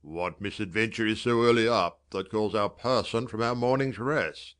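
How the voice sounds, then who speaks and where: Stern, low-voiced prince played by a man. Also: normal speed, a bit bright, clear, resonant tone, lightly acted. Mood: displeased. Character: stern, regal male prince, displeased ruler. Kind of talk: theatrical Shakespearean audiobook acting.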